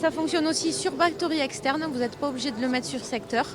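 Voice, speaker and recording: A woman exhibitor pitching her product at a fair stand, explaining eagerly with a few fillers. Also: quick pace. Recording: noisy fair crowd behind.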